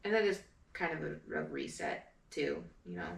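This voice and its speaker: Groggy woman with gravelly morning voice. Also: huge pitch swings, normal speed. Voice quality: deep, gravelly. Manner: rambling, chatty, full of fillers. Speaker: vlogger. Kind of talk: casual vlog chat. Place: her apartment.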